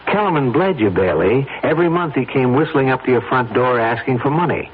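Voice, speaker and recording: Coolly coercive persuader, tinny radio. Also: male voice, mid-range voice, animated, fairly quick, husky. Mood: persuasive, insistent. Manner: insistent, pointed, persuasive. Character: coolly coercive man pressing a reluctant friend. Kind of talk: old-time radio drama acting. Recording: noisy, tinny old radio broadcast.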